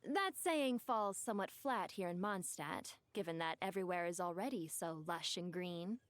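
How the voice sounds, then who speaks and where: A woman's voice: lowish voice, animated, normal speed, background noise, natural voice. A woman voicing an ancient, devoted djinn for a video game, speaking in a theatrical, eloquent and formal way. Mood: poised, wistful. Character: ancient djinn woman, grand, wistful, devoted to her lost mistress. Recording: music behind the voice.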